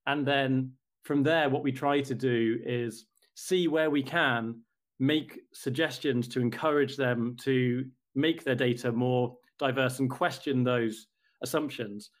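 A man answering interview questions about his company, in a measured, thoughtful way with occasional restarts. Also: mid-range voice, steady tone. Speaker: company representative, guest.

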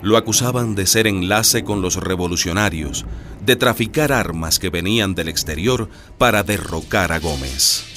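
A man's voice: low-pitched, normal speed, a bit bright. A man as the solemn narrator of a historical radio drama, reading a scripted account. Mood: solemn narration. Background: music.

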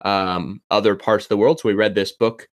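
A man's voice: on the low side, fairly even intonation, moderate pace, no echo, clean studio sound. A young man, a doctoral student, talking as a podcast guest, thinking aloud with frequent ums and restarts. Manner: thoughtful, many ums and restarts. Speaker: podcast guest, PhD candidate. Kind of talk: casual interview chat.

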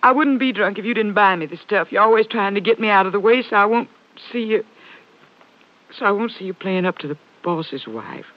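An actress playing an emotional, defensive ranch wife with halting, plain rural speech in a 1950s radio drama. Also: female voice, mid-range voice, animated, close to an everyday voice. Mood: defensive, agitated. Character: distraught, defensive ranch wife, newly widowed. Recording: muffled old radio recording.

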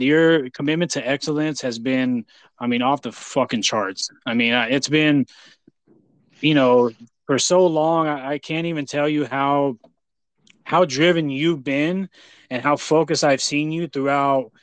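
A man's voice: medium pitch, a little slow, smooth, no room sound. An American man hosting a casual interview, talking effusively in a rambling way with many repeats. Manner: effusive praise, rambling, repeats "you know". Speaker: podcast host.

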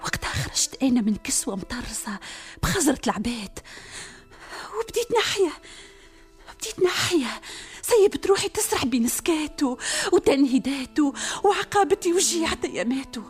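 An actress with a Tunisian accent playing a vizier's young daughter, lovesick and desperate, often speaking in breathy whispers in a radio drama. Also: female voice, high-pitched, sing-song, brisk, darkish tone, faint music behind. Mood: yearning.

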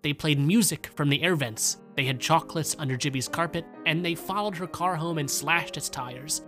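Male narrator for short fiction, somewhat high-pitched voice, scripted read. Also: moderate pace, a bit bright, soft background music. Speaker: story reader.

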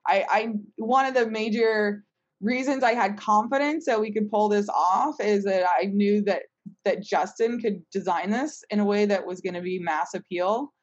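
A woman's voice: medium pitch, moderate pace, dull audio. A woman speaking as a company founder in a casual panel conversation, talkative and emphatic, often checking her points with "right?".

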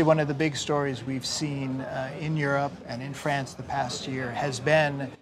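Guy interviewing someone onstage, rambly easygoing questions, mid pitch, crowd noise. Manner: conversational, long winding questions. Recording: crowd murmur in the background.

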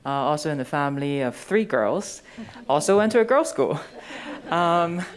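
A woman in her thirties from Hong Kong, an architect, cheerfully introducing herself on a panel with many pauses. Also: sits low, lively intonation. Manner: cheerful, laughs, many uhs.

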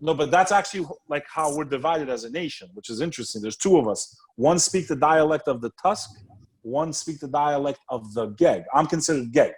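A man's voice: a little high, expressive, fairly quick. A man hosting a podcast, interviewing casually in a streetwise, profane way and often repeating his phrases. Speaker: podcast host.

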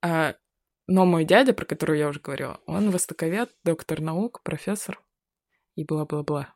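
A woman's voice: deep voice, moderate pace, a little mellow, no room sound, studio quality. A young woman from Buryatia talking casually and ironically in a chat, often laughing and swearing offhand. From Buryatia, Russia. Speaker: guest.

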